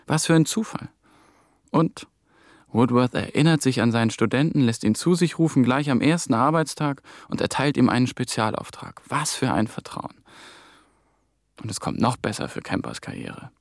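A man acting a hunted young intern in a radio drama. His gravelly voice is recorded close and dry, and he speaks in clipped, brooding phrases of middle pitch. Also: moderate pace, no room sound, lightly acted. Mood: brooding, tense. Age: young adult. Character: ambitious young German intern, hunted and haunted. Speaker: lead actor and narrating protagonist.

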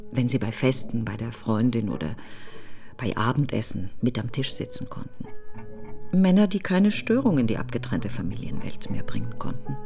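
An actress playing a grieving, fearful woman in a literary radio drama monologue, speaking in halting, deliberate fragments with a breathy, often nearly whispered voice. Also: extremely low pitch, mellow, full character voice. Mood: reflective. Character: grieving, fearful, brooding woman mourning her best friend.

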